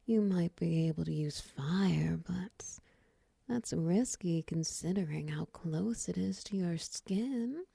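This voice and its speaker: Woman as a breathy, mellow, flirty spider woman. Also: fairly low pitch, no room sound, full character voice. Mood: soft, hushed. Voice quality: breathy, husky, often near whisper. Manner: slow, coaxing, teasing endearments. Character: flirty, doting drider spider woman, seductive caretaker. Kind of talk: intimate ASMR-style romance audio roleplay. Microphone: close mic, dry.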